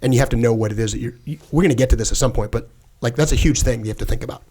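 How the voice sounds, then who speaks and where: A man's voice: mid-range voice, very fast, mellow, slightly rough. Casting note: former fighter pilot guest, middle-aged man, mid-range voice, earnest and chatty, leans on fillers. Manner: earnest, with frequent "like" fillers and restarts. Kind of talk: casual conversational interview.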